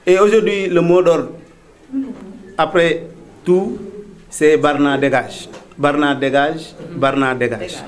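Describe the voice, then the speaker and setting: Slow, measured Senegalese spokesman. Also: fairly high pitch, fairly even intonation, a little hiss or hum. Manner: measured, hesitant fillers, rising into slogans. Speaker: collective spokesman. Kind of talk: press statement.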